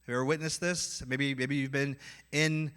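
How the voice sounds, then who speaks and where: A man with a Midwestern accent preaching a sermon, acting out inner voices and swinging between loud and quiet. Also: medium pitch, normal speed, clear, resonant tone. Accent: Midwestern American. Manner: animated, acts out inner voices with swings in loudness. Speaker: pastor.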